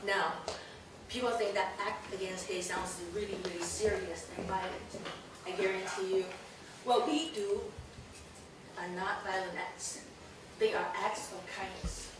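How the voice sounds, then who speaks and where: A middle-aged woman, slightly hoarse, giving an earnest, emphatic speech without a microphone as an event host. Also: medium pitch, unhurried. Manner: earnest, emphatic, animated gestures. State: hoarse, losing her voice. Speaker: community leader, event host. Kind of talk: formal public speech. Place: large room with a backdrop, indoors. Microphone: no microphone, recorded by a distant camera. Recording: echoey room from a distance, noisy.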